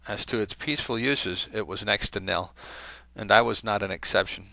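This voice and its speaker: Male channel host, low-ish voice with a forceful edge, reads a letter aloud conversationally, stumbling now and then, dry studio sound. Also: normal speed, vocal fry, dry recording, rough recording. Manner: conversational, with hesitant fillers and self-corrections. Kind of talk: reading a letter aloud to viewers.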